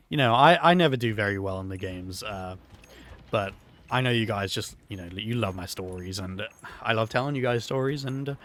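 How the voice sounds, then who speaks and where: Hoarse rambling man telling absurd tales. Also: lowish voice. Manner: rambling, filler-heavy, absurd deadpan tales. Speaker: gaming YouTuber. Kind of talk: rambling story over gameplay.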